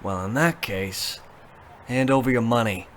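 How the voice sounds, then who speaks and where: A man's voice: mid-range voice, unhurried, a bit bright, slight background noise, natural voice. A man playing a menacing, leering street mugger in an audio drama.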